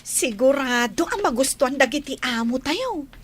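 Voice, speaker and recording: An actress playing a humble, chatty young housemaid in a radio drama, with an Ilocano accent. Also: female voice, high voice, lively intonation, normal speed. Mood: friendly, relaxed.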